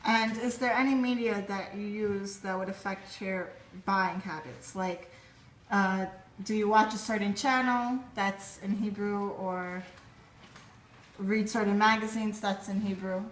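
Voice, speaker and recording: A woman asking interview questions in a plain, measured way. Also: mid-range voice, steady tone, reverberant, slight background noise, poor audio.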